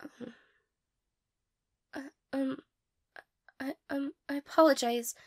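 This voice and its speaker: A young woman playing a shy, insecure, tearful girl in an intimate romantic audio roleplay, hesitant, stammering and apologetic, with a breathy, creaky voice. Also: sits high, fairly even intonation, takes it slowly.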